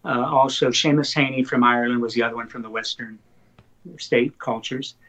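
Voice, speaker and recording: An older man whose first language is Slovak recounts stories as an interview guest. His voice sits in a middle pitch, and he tells anecdotes with animated, excited retellings. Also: a little fast, highs cut off. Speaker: poet guest. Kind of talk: interview storytelling.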